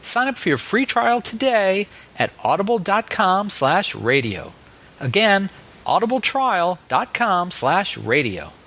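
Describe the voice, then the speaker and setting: A man's voice: high voice, wildly swooping pitch, unhurried, pushed and bright. A man with an American accent, hosting an interview show and reading out announcements and sponsor plugs. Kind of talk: podcast interview and sponsor reads.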